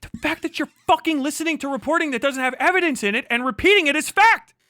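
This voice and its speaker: A man's voice: extremely high pitch, fast, bright, forceful tone, dry recording. A man doing heated live reaction commentary as a stream host, profane, combative and mocking. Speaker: livestream host reacting to a clip.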